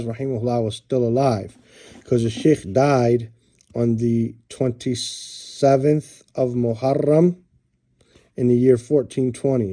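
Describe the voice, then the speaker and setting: A middle-aged American man showing and describing books in a relaxed, rambling way, with many fillers and restarts. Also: medium pitch. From United States. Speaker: book reviewer. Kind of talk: casual book show-and-tell.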